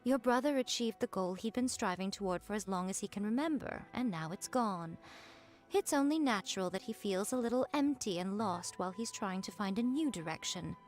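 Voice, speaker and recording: An actress playing a sly, aloof shrine priestess in a video game, wry and languid, with a playfully condescending way of talking. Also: female voice, mid-range voice, expressive, fairly quick, natural voice. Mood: dismissive. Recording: background music under the voice.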